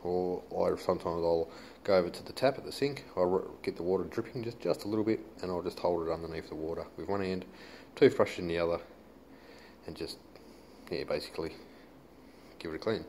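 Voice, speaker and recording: Low-voiced Australian man, noisy recording. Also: brisk, a little mellow. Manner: laid-back, colloquial, mild swearing. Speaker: hobbyist demonstrator. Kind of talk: casual hands-on how-to commentary. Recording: noisy handheld home video.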